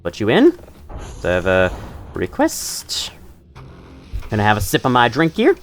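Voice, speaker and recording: A young man talks through a game in loose, rambling commentary, swearing now and then. His nose sounds stuffy, and his pitch swings widely up and down as he chats. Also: wildly swooping pitch, a little slow. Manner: rambling, chatty, swears casually. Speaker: gaming channel host. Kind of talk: casual let's-play commentary.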